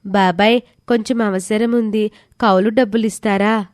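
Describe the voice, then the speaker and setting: A woman's voice: medium pitch, fairly even intonation, a little slow, a little mellow, no echo, clean studio sound, close to an everyday voice. A woman voicing a polite, earnest, reserved village girl in a scripted moral story.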